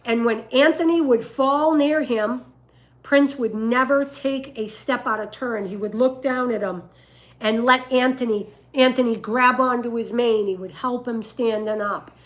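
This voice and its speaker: An older woman with a rough voice, telling stories and giving advice casually on a livestream, in an emphatic, deliberate way. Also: fairly high pitch, poor audio. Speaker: dog breeder and livestream host.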